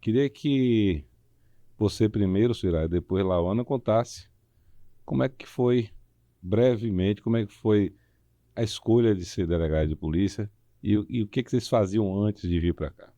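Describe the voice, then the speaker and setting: A middle-aged man, chatting as an interview co-host in halting, hesitant phrases. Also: lowish voice, dark tone, no room sound. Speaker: podcast co-host. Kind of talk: unscripted interview chat.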